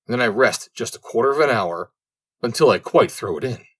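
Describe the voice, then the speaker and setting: Man playing an indignant lazy servant, swooping pitch. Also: animated, fairly quick, a bit bright. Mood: idle, dismissive.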